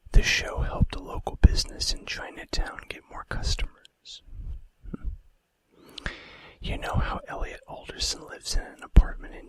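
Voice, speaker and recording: Male ASMR narrator, whispered throughout, chatty and informal, reading out trivia. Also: no room sound. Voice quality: breathy unvoiced whisper. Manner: casual, conversational asides. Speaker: ASMR video host.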